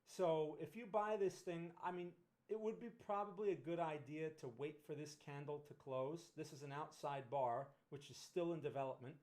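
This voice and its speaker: A man's voice: on the high side, moderate pace, darkish tone. New Jersey man talking markets. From Northern New Jersey. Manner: conversational, frequent "right?" tag questions and restarts. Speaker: trading livestream host. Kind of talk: casual livestream market analysis.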